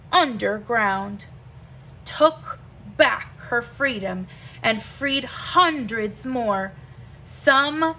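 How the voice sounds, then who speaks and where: A woman reads a children's picture book aloud at a very slow, measured pace. Her voice is bright and fairly high, and she places each phrase with deliberate emphasis, as a teacher would. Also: slight background noise, low-quality recording. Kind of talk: expressive read-aloud of a rhyming picture book.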